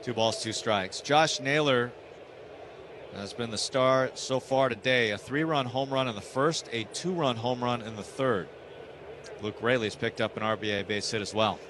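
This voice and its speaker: A man's voice: medium pitch, moderate pace, noisy background. A man doing live radio play-by-play commentary of a baseball game from a broadcast booth.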